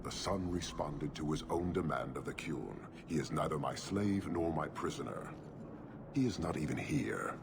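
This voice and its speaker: An actor playing an imposing, stern warlord, speaking slowly and with menace in a deep, gravelly voice. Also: male voice, background noise. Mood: menacing. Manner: slow, measured, menacing. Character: imposing male warlord leader, stern and cold.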